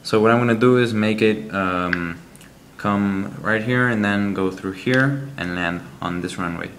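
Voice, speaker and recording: A man reviewing a game app, walking through its screens in a halting, explanatory way with frequent ums. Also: fairly low pitch, fairly bright tone, slight background noise.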